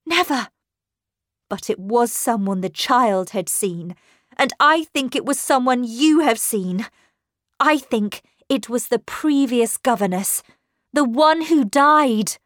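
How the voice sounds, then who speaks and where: A woman's voice: a little high, expressive, takes it slowly, a bit bright, no room sound, studio quality, pitched above the performer's own voice. A woman voicing an anxious, insistent young governess in a storybook narration, speaking in an urgent, emphatic, impatient manner. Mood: fearful, urgent.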